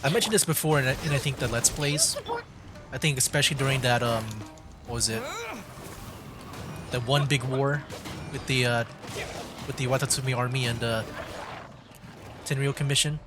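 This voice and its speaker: A man's voice: mid-range voice, normal speed, long pauses. A man giving casual live commentary as he streams a video game. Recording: game music behind voice. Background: video game music.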